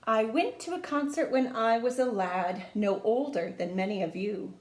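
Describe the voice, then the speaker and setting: A woman reading a children's storybook aloud in an expressive, sing-song storytime manner, speaking to young listeners like a teacher. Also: on the high side, normal speed. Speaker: music teacher reading a picture book to children. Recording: echoey room.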